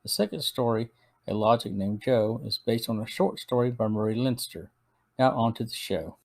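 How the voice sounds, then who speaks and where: A man of middle pitch reads a prepared script for his podcast. His delivery is steady and even, like someone working carefully through notes. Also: normal speed. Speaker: podcast host. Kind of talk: scripted episode review.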